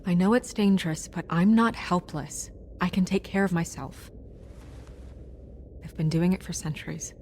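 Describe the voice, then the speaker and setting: A woman's voice: fairly low pitch, moderate pace, a little mellow, a little hiss or hum, natural voice. A woman playing a poised, determined fairytale office administrator who is defiant yet caring, in naturalistic game acting. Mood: earnest, worried.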